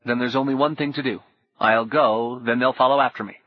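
A man voicing an anxious young man on the run, speaking briskly. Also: lowish voice, flat delivery, in a large hall, dull audio. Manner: brisk, clipped.

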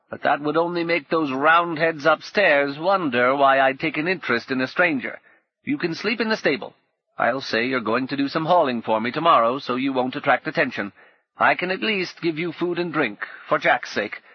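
A man's voice: fairly high pitch, husky, no room sound, muffled recording, pitched above the performer's own voice. Man playing a rueful, bitter old woman. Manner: bitter, wry and emphatic. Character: rueful old woman fallen on hard times.

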